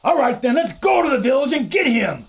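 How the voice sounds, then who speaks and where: Brief: actor doing brash young-sounding crewman, high and swaggering. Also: sing-song, a little fast, husky, exaggerated voice. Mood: brash bravado. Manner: bold, boastful. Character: brash cocky male crew member.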